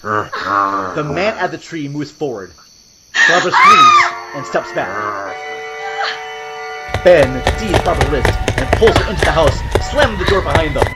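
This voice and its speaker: Animated male horror narrator over loud music. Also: on the high side, measured pace, long pauses, low-quality recording. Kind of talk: scripted dramatic horror narration. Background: loud music and sound effects.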